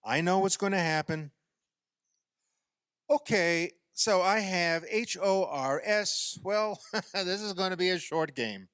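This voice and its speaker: A man acting in a radio play as a self-pitying, sarcastic amateur ball player who speaks in an exasperated, halting way. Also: high voice, fairly bright tone, clean tone, dry recording, clean studio sound. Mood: exasperated, sulky.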